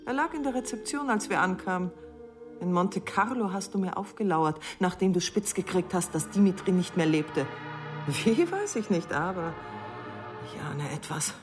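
An actress playing a scornful, mercenary middle-aged wife in a radio play, cutting, mocking and haughty in manner. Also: female voice, lowish voice, animated, moderate pace, over music, close to an everyday voice. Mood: scornful, bitter.

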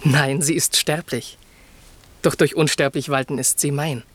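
A man's voice: fairly high pitch, fairly even intonation, measured pace, fairly bright tone. A man playing an earnest young prince in love, speaking lyrical verse with reverence in a classic radio play. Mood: tender, reverent.

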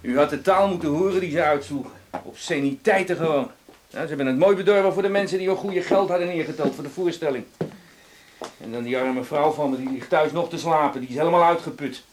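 An actor in a radio play voicing an indignant, flustered cinema owner who stammers and complains. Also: male voice, on the high side, fast talker, natural voice. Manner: agitated, stammering, repetitive complaining. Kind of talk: radio drama acting. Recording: noisy radio play recording with clicks.

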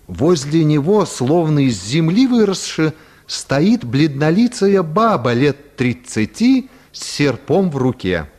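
A man's voice: on the high side, darkish tone. Male storyteller with very varied intonation. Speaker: narrator. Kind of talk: expressive literary narration for radio drama.

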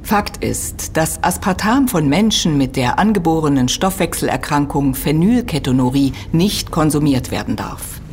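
Low-voiced, animated female lecturer. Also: normal speed, hardly any pauses, slight background noise. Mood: measured, factual. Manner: precise, didactic, emphatic. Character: expert female university lecturer, earnest and critical. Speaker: expert being interviewed. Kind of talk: German audio drama dialogue, explanatory lecturing.

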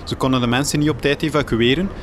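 A hapless Flemish reporter, played by a man in a comic radio play. His mid-range voice is hesitant, naive and apologetic, with plenty of earnestness. Noise is clearly audible behind him. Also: medium pitch, fairly even intonation, fast talker, close to an everyday voice. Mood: meek. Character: meek, earnest, hapless male star newspaper journalist. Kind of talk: comic radio drama acting.

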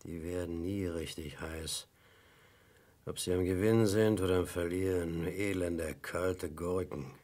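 Low, husky, menacing henchman. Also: fairly even intonation, a little slow, darkish tone. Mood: contemptuous, brooding. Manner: sneering, curt, threatening. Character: menacing, contemptuous gambler and henchman, rough and surly. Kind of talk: German radio play acting.

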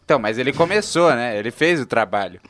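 A man's voice: medium pitch, animated, fast talker, fairly bright tone. A young man with a São Paulo accent, a student panelist arguing his football pick in a casual radio debate. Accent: São Paulo Portuguese. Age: young adult. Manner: insistent, argues his pick.

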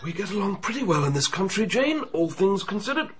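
An actor playing an older, complacent upper-class English banker in a radio drama, genial, assured and bluff, with a well-bred English accent. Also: male voice, sits high, very animated, brisk, noisy background. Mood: firm, dismissive.

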